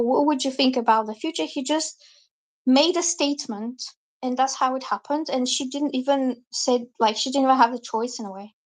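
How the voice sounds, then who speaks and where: A high-voiced woman reads tarot cards on a live stream. She talks in a chatty, loose way and drifts from point to point as she explains the cards. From Bulgaria. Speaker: tarot reader.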